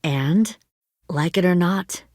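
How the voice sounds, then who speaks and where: A woman's voice: lowish voice, darkish tone, no room sound, clean studio sound. A woman playing a ruthless, menacing middle-aged female militia leader in a zombie video game, with an American accent and a controlled, condescending way of speaking. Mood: cold, menacing. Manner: controlled, condescending, deliberate threats. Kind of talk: dramatic video game voice acting.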